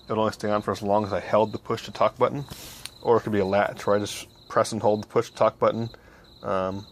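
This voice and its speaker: A young man giving a casual project walkthrough in a low-key, hesitant way, thinking aloud as he goes. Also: a little hiss or hum. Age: young adult. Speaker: hobby electronics vlogger. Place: small cluttered home workbench indoors. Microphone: handheld phone camera.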